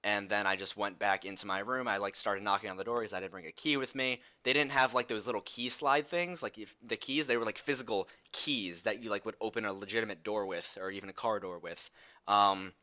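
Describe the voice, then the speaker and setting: A young man with a bright, forceful voice tells a personal story in a casual video style. He is animated and conversational, slipping into dialogue as he recounts things. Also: on the low side, a little fast. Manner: animated retelling, acts out dialogue.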